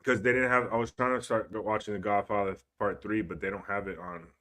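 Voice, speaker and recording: A man's voice: on the low side, steady tone, quick pace, sharp tone. A young man co-hosting a podcast in casual chat, talking in a laid-back, rambling way and swearing often. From Cuban heritage. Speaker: podcast co-host.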